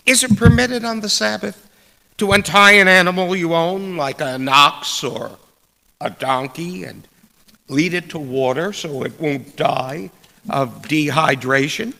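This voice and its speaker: Slow, gravelly preacher in an echoing hall. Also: male voice, a little high, bright, forceful tone. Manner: deliberate, emphatic, rhetorical phrasing with dramatic pauses. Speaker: preacher reading a sermon. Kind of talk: scripted church sermon. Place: large indoor church space. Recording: echoey hall with long reverb.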